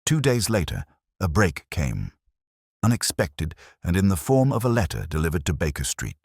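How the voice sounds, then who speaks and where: A man reading a detective story as its narrator, in a measured, formal, literary manner. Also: deep voice, wildly swooping pitch, darkish tone, breathy edge, no echo, studio quality. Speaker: audiobook narrator. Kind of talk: scripted first-person detective story narration.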